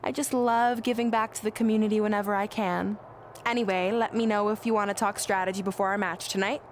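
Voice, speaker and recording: A woman acts a self-satisfied, scheming wrestling boss for a game cutscene. Her medium-pitched voice is mock-sweet and taunting. Faint ambience runs behind it. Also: fairly even intonation, fast talker, a little hiss or hum, close to an everyday voice. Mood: smug. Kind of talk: video game cutscene acting.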